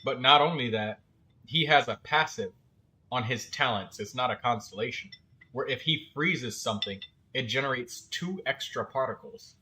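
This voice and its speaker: A man giving casual, explanatory game commentary as a streamer, halting now and then as he thinks. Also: medium pitch, pushed and bright, a little hiss or hum, rough recording.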